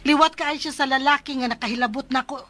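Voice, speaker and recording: A woman's voice: high-pitched, fairly even intonation, fast, fairly bright tone, soft background music. An actress with a Cebuano Filipino accent playing a blunt, dismissive young mother in a radio drama. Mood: cold, defiant. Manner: brusque, dismissive.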